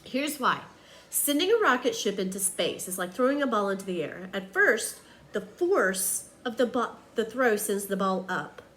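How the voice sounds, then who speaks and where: A woman reading a children's picture book aloud like a teacher, with expressive, emphatic storytelling. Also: medium pitch, moderate pace.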